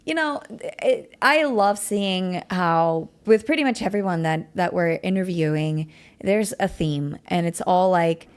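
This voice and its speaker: A woman's voice: on the low side, measured pace, smooth. Chatty woman host, German native speaker. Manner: chatty, animated, often laughing. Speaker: podcast host. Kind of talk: casual interview chat.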